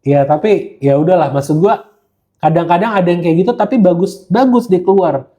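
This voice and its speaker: A man's voice: high-pitched, brisk, dark tone. An Indonesian man in casual podcast conversation, talking slangily and slipping English phrases into his speech. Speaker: guest gemologist who hosts live streams.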